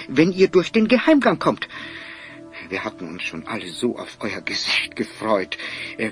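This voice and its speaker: Excitable kindly scholar, wildly swooping. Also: male voice, medium pitch. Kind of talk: German children's audio drama acting.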